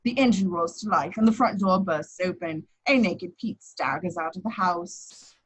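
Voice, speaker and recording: A woman's voice: lowish voice, moderate pace, husky, rough recording. A woman reading out scripted action descriptions as narrator at an amateur table read.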